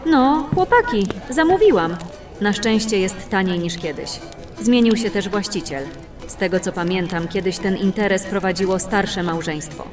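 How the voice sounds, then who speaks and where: Casting: actress for level-headed female adventurer, composed, medium pitch, music behind. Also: animated, moderate pace, distant mic, lightly acted. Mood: matter-of-fact. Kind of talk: fantasy audio drama acting.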